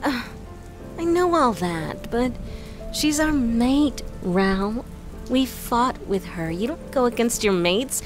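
A woman's voice: on the high side, animated, slow pace, over music. A woman playing a scrappy, loyal young woman with colloquial street talk in a fantasy audio drama. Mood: pleading.

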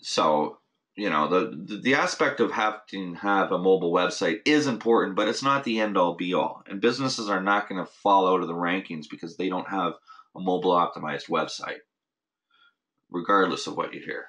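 A man's voice: low voice, normal speed, fairly bright tone. A man hosting a weekly marketing update, speaking off the cuff with frequent restarts and fillers on a recorded member call. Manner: casual, many restarts and "you know" fillers. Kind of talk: unscripted webinar talk.

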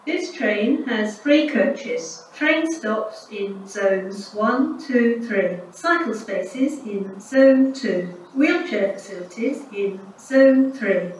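A woman's voice: medium pitch, lively intonation, slow pace, few pauses. Echoey automated British woman station announcer. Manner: formal, segmented, pieced-together delivery. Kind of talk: scripted public-address announcement. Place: railway station announcement system. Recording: echoey station PA, distant, noisy.

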